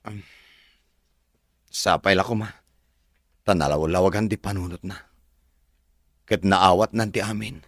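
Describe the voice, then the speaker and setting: An actor with a Filipino accent playing an earnest, reassuring sweetheart in a radio drama, with a gentle manner. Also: male voice, deep voice, unhurried, pauses often, no echo, clean studio sound.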